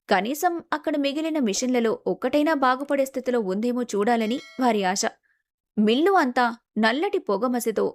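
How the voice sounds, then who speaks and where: A woman storyteller reading scripted narration for a moral story. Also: high-pitched.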